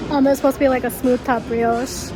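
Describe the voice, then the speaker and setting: A woman's voice: sits high, little pitch movement, quick pace, low-quality recording. A young woman with a congested, stuffy voice, chatting casually as a travel vlogger, rambling and correcting herself as she goes. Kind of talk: casual vlog narration. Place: hotel and casino in Las Vegas.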